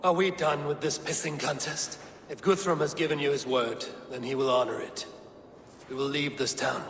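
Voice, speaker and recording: Blunt, forceful Viking warrior. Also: male voice, on the high side, fairly even intonation, normal speed, vocal fry, long reverb tail, slight background noise. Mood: scornful. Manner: blunt, stern, scornful. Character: blunt, proud, vengeful young Viking warrior. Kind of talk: dramatic video game acting.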